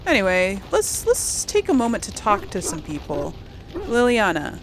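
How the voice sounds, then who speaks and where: A woman's voice: medium pitch, huge pitch swings, moderate pace, slight background noise, poor audio. A woman hosting a let's play, chatting casually to her viewers in an informal, playful way. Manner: chatty, addresses viewers, giggly asides. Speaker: gaming video host.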